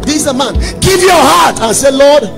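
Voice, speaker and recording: A male preacher with a Nigerian accent delivers an impassioned sermon at a very high pitch. His voice is gravelly and emphatic, circling back on phrases for weight, with music playing clearly behind him. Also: animated, normal speed. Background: background music.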